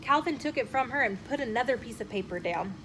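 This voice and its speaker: A woman storyteller reading a children's chapter book aloud in an expressive, emphatic way. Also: on the high side, fast. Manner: animated, emphatic phrasing with acted dialogue. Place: inside a bookshop. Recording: noticeable room noise behind the voice.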